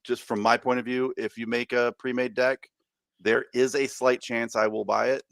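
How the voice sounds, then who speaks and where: A man's voice: mid-range voice, level tone, moderate pace, creaky, fairly bright tone, dry recording. A man co-hosting a podcast in casual chat, hesitant and often repeating words as he thinks aloud. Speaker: podcast co-host.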